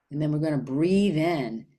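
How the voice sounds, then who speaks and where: A woman leading a guided meditation in a gentle, unhurried manner with long pauses. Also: sits low.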